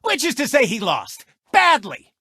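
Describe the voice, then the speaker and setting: A man's voice: extremely high pitch, wildly swooping pitch, unhurried, pushed and bright, breathy edge, dry recording, clean studio sound, full character voice. A man voicing a cocky, gloating race car turned sportscaster in a video game, with a smug, mocking, theatrical showman's delivery. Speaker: sports show host and racer. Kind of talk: exaggerated sportscast commentary and race taunts.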